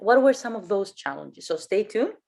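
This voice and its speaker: A woman's voice: medium pitch, big pitch swings, brisk, vocal fry, a little mellow. A woman hosting a radio interview, enthusiastic and effusive. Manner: enthusiastic, effusive, switching between languages. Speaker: radio show host.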